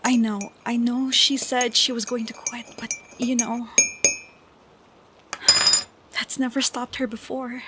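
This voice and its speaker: A young woman playing an affectionate, troubled college-age best friend in an intimate audio roleplay, speaking softly and hesitantly with sighs. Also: on the high side. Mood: upset, weary. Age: young adult. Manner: soft, hesitant, slow with sighs. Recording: steady background noise of rain. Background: rain sounds.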